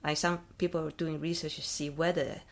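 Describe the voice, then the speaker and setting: Woman, Taiwanese accent, low voice, podcast host, thoughtful questions, small grammar slips. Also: flat delivery, a little fast. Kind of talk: casual interview conversation.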